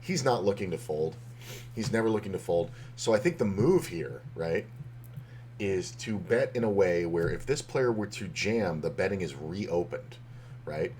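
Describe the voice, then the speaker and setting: A man casually talking through poker hands on a stream, rambling with lots of fillers, his voice slightly hoarse. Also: low voice, animated, a little slow, a little mellow, a little hiss or hum. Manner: rambling, loose, with many fillers and restarts.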